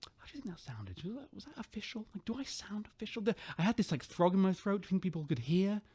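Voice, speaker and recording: A man's voice: high-pitched, big pitch swings, quick pace, a little mellow, breathy edge, heavily acted. An actor playing an insecure, bumbling male starship captain who tries awkwardly to sound official in a sci-fi radio drama. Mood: anxious, unguarded. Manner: hesitant, awkward, faltering officialdom. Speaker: ship captain.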